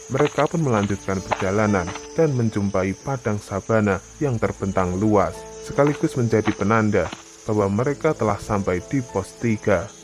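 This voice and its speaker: A man with an Indonesian accent giving scripted narration for a horror story. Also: fairly low pitch. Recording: music behind the narration.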